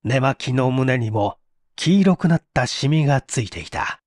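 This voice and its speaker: A man's voice: mid-range voice, animated, normal speed, darkish tone, no room sound. Male audiobook narrator, dramatic and suspenseful, very varied intonation, dry studio-like sound. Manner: theatrical, suspenseful. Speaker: audiobook narrator, stage actor. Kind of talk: dramatic scripted mystery narration.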